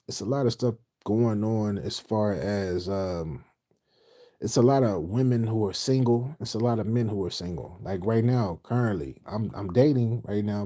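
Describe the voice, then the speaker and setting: A low-pitched man with a dark, mellow hosts a late-night livestream, reading comments aloud. He is middle-aged and from Houston, and he rambles in slang, restarting and repeating lines as he goes. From Houston, Texas. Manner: loose, slangy, repeats phrases, trails off. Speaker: livestream host reading chat.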